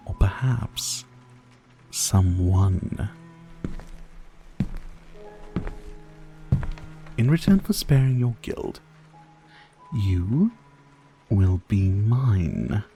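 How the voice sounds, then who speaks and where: A man playing a centuries-old, sadistic vampire lord in a scripted ASMR roleplay, speaking close in a silky, theatrical, taunting way that often drops to breathy whispers. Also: lowish voice, very animated, drawn-out words, long pauses, dark tone, exaggerated voice. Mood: seductive, brooding. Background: faint music.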